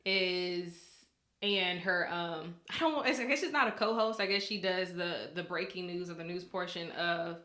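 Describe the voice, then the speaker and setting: A woman's voice: on the low side, moderate pace, sharp tone, echoey room. A woman co-hosting a podcast, talking casually and colloquially. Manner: colloquial, informal. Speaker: podcast co-host; news anchor. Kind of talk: casual chat.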